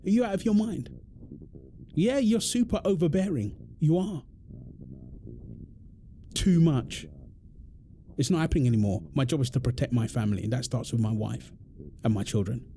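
Exasperated British husband, swooping pitch acted by a man. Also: animated, normal speed, vocal fry, long pauses, warm tone, slight background noise. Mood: angry, fed up. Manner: indignant, emphatic, confrontational. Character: exasperated, protective husband arguing with his overbearing mother-in-law. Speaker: audio roleplay voice actor. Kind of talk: ASMR roleplay drama monologue.

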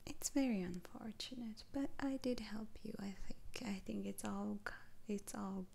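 A woman playing a soothing, faintly sly witch doctor in an ASMR roleplay, speaking in a breathy, halting whisper. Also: medium pitch, a little mellow. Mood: regretful, hushed.

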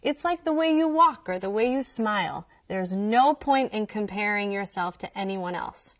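A woman's voice: medium pitch, animated, moderate pace, dry recording, studio quality, distant mic. A woman, a folk musician telling young listeners about her family and music in an enthusiastic storytelling manner. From Queens, New York. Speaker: folk musician presenting to children. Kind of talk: scripted educational talk to young listeners. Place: indoor studio with wooden wall backdrop.

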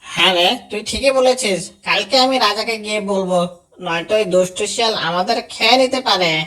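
A woman's voice: medium pitch, a little fast, fairly bright tone, recorded from a distance, lightly acted, rough recording. A woman voicing a watchful, motherly songbird in a children's cartoon, recorded slightly away from the microphone. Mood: motherly, concerned.

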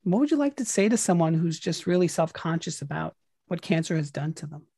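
A man's voice: on the high side, sing-song, brisk, creaky, warm tone, husky, dry recording. Man, podcast host, earnest, reflective, dry close sound. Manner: earnest, reflective, repeats phrases for emphasis. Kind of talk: interview and outro.

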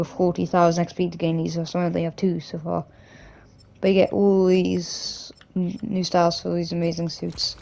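A man with a hoarse, croaky voice from a cough, casually rambling through gameplay commentary as a gaming YouTuber. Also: sits high, a little mellow. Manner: rambling, loose, with many restarts and "like".

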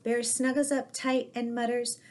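A middle-aged woman reading children's picture books aloud as a storyteller, in an expressive, measured way. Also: fairly high pitch. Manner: slow, expressive, measured read-aloud delivery. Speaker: storyteller in costume as Mrs. Claus. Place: living room with fireplace, indoors.

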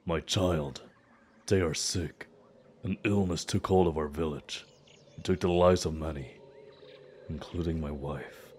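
Man acting a very deep-voiced, desperate father. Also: steady tone, a little mellow, a little hiss or hum. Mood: grieving, desperate. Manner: slow, halting, earnest pleading. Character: desperate grieving father of a sick child, humble pleader. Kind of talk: dramatic fantasy audio roleplay.

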